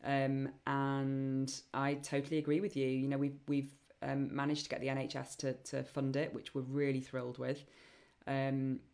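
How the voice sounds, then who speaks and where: A woman hosting a live online Q&A session, chatty and enthusiastic, with many ums and a voice getting croaky. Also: a bass voice, completely flat delivery, moderate pace, a little mellow. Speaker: webinar host and program founder.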